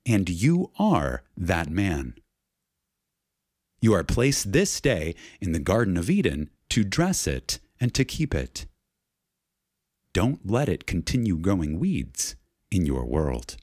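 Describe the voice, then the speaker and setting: A man delivers a spiritual lecture as a solemn, dramatic reading. He takes his time, leaving deliberate pauses and leaning on key words. His husky voice moves expressively up and down, with reverence throughout. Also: low voice, wildly swooping pitch, darkish tone, no room sound, clean studio sound.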